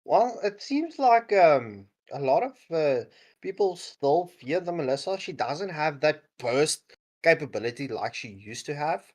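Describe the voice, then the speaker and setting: A man's voice: fairly high pitch, very animated, unhurried, darkish tone. A man casting a mobile esports match, joking and laughing often between calls. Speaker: esports caster. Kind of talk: casual esports commentary.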